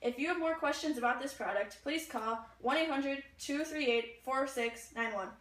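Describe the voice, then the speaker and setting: A young woman reads scripted, advert-style narration. Her high voice is picked up from a little way off in an echoey room. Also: moderate pace, fairly bright tone. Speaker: puppet show performer reading mock adverts. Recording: echoey room, distant mic.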